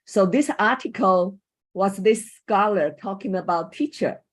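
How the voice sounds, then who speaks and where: Slow, measured woman speaker. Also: medium pitch. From Taiwan. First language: Chinese. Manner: measured, thoughtful, pauses often with ums. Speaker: guest speaker, coach and former teacher. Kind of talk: online panel presentation.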